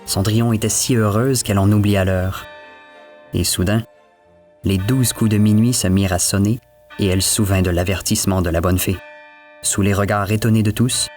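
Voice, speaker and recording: A man's voice: on the low side, darkish tone. A man telling a scripted fairy tale as the storyteller. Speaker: storyteller, narrator.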